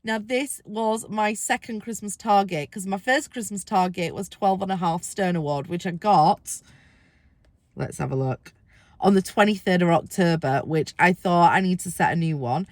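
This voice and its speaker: A woman with a squeaky voice from being ill, chatting excitedly to camera in a casual weight-loss vlog, with rambling asides. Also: normal speed, no room sound.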